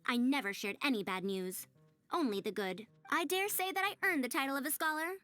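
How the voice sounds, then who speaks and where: A woman's voice: high-pitched, brisk, a bit bright, faint music behind. A woman playing a prim, proud but kindly young-sounding researcher in a video game, speaking formally and articulately in wordy, old-fashioned phrases. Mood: regretful. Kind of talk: scripted video game character dialogue.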